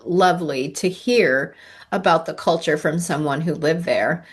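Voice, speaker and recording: A woman hosting a podcast interview, warm and gently encouraging. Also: unhurried, breathy edge. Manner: warm, gently encouraging, pitch rises on greetings. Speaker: podcast host.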